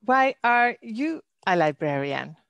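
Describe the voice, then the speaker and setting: A middle-aged Norwegian woman, a library director, giving a webinar talk in careful, slightly halting English with a Norwegian accent. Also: medium pitch, very animated, creaky, a bit bright, dry recording, dull audio. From Norway. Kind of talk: webinar presentation. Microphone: computer mic on a video call.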